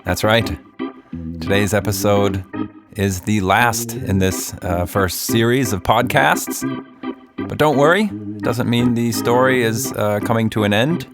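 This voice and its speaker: A man hosting and narrating a children's story podcast in a gently humorous way. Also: on the low side, a little slow, over loud music.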